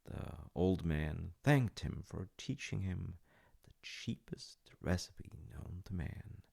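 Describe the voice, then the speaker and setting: Man voicing a gentle storytelling father, slow pace, husky murmur, soft-spoken, halting, wryly tender, intimate audio roleplay. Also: low-pitched, animated, heavily acted. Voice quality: gravelly, husky, often dropping to a breathy murmur. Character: gentle, doting, wryly humorous storytelling dad. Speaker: voice actor.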